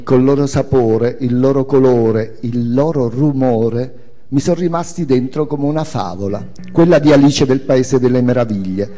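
A man's voice: mid-range voice, mellow. A man reading an autobiographical memoir as a theatrical narration. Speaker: author-performer reading a memoir. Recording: long hall reverb, music behind. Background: music.

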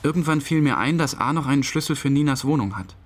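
A man's voice: a little high, animated, quick pace. An actor playing a distraught, brooding husband telling his story in an interview-like radio drama. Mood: subdued, worried.

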